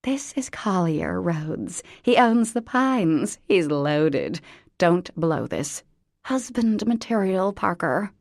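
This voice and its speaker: A woman's voice: fairly low pitch, animated, takes it slowly, vocal fry, a little mellow, no echo, studio quality, full character voice. A woman voicing a vain, bossy middle-aged society mother in an audiobook, theatrical and dramatic. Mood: scheming. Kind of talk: audiobook character voice.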